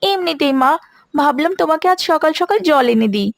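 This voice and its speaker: A woman's voice: high voice, lively intonation, moderate pace, gravelly, no echo, studio quality, voice pushed up. A woman voicing a greedy young village sister in a cartoon, pleading and insistent, with music behind her. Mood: coaxing.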